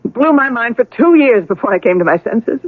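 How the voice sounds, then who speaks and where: A woman's voice: on the high side, expressive, a little fast, no room sound, phone-quality sound. An actress playing a troubled, guilt-ridden young woman, bitter and resigned, in a 1970s radio drama. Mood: bitter regret.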